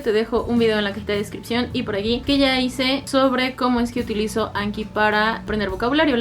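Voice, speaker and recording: A woman's voice: a little high, a little hiss or hum. A woman in her twenties with a Mexican accent, chatting directly to the viewer in a casual vlog about how she studies languages. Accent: Mexican Spanish. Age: 20s. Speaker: language-learning YouTuber. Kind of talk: casual explanatory vlog talk.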